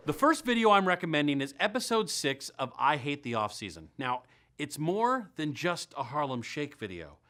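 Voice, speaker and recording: Lively, bright-voiced American man hosting comedy. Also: on the high side, normal speed. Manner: wry, sarcastic, emphatic delivery. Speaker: host of a comedy web series. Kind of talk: scripted comedic monologue to viewers.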